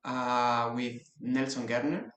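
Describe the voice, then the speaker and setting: A man's voice: mid-range voice, steady tone, slow, fairly bright tone. A young man, a piano student, giving brief, plain interview answers with some hesitation. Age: young adult. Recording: echoey room on a video call.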